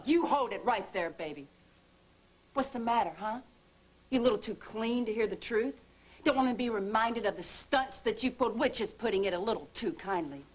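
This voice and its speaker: A bitter, scheming soap-opera lover. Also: female voice, on the high side, husky, rough recording. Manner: taunting, bitter, emotional. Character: bitter scheming woman, former nurse-accomplice, hurt and vengeful. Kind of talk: daytime soap drama acting.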